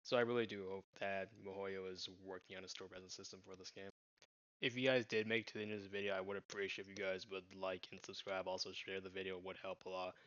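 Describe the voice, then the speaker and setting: A young man delivering gaming commentary in a steady monotone. His lowish voice is bright and smooth. The sound is dry and a little muffled, as if from a home mic. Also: quick pace, dry recording. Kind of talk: opinion commentary to camera.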